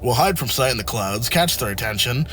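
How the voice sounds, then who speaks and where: An actor playing a brisk, no-nonsense airship captain in an audio drama. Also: male voice, medium pitch, lively intonation, bright, forceful tone, slight background noise. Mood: businesslike, firm. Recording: music behind.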